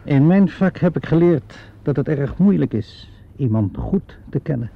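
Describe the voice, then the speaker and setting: An actor playing a calm, probing police inspector in a Dutch radio play, questioning politely and methodically. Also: male voice, fairly high pitch, measured pace, gravelly, exaggerated voice. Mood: measured, persistent. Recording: dull, lo-fi old radio recording.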